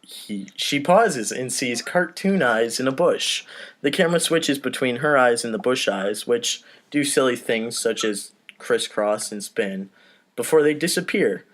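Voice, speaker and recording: A man's voice: medium pitch, lively intonation, measured pace, fairly bright tone. A man narrating script directions on a comedy podcast, slipping into casual asides. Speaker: podcast host reading stage directions.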